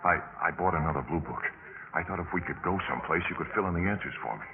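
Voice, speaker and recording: An actor playing a flip, desperate young college student with a halting, pleading delivery in a 1950s radio drama. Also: male voice, low voice, crowd noise. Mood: urging, flip. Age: young adult. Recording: muffled, noisy 1950s radio recording.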